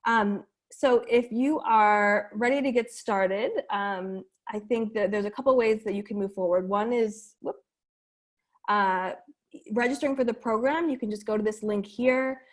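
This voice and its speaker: A woman's voice: medium pitch, unhurried. Casual woman presenting on a video call. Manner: casual, friendly, many fillers. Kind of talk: webinar presentation.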